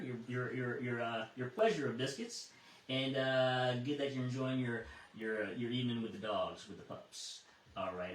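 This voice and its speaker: American male net controller, casual and halting, heard distant and roomy over a radio link. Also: mid-range voice, a little hiss or hum. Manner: halting, repeats words while thinking. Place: over amateur radio repeater. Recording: echoey, distant radio transmission.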